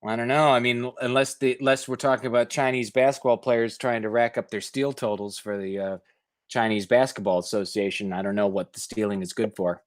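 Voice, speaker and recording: A man's voice: medium pitch, fairly even intonation, a little fast, no echo, studio quality. A man reading news articles aloud and breaking off into skeptical, opinionated asides of his own. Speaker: host reading news stories with commentary.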